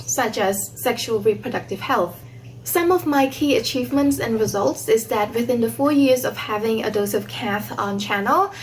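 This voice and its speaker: A woman's voice: fairly high pitch, a little hiss or hum, rough recording. A young woman giving a prepared talk about her own work as an online creator. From Cambodia. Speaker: online video creator on sex education. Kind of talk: prepared self-introduction talk.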